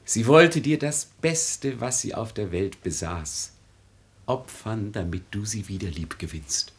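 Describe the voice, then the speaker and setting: Earnest idealist, very husky, widely swinging intonation, persuasive, radio play. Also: male voice, on the low side, lively intonation, unhurried, heavily acted. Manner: urgent, persuasive. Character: earnest, insistent idealist friend of the family. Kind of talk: theatrical radio drama acting.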